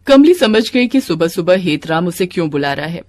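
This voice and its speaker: A woman's voice: lowish voice, big pitch swings, a little fast, a little mellow. A woman narrating a scripted devotional story as a storyteller.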